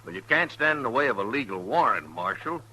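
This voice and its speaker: An actor playing a hostile, blustering deputy gunman with a rough rural Western American way of talking, in an old radio western. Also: mid-range voice, big pitch swings, fast, pushed and bright, rough recording. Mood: menacing. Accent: rural American West. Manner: blustering, threatening, rough-spoken. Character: hostile, swaggering deputy gunman, ready to kill. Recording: vintage radio with faint noise.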